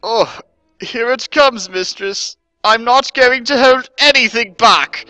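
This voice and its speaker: A man voicing a devoted, eager young servant girl in a slow, drawn-out, fawning manner for a dramatic story reading. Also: very high voice, pushed and bright, dry recording, soft background music, pitched above the performer's own voice, heavily acted. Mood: eager, excited. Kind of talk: dramatic reading of fan fiction.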